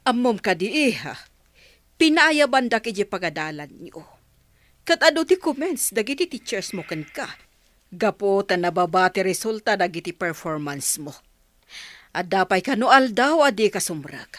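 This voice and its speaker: A woman's voice: mid-range voice, sing-song, moderate pace, dry recording, studio quality, close to an everyday voice. A woman with an Ilocano accent playing a worried, reproachful village mother in a radio drama.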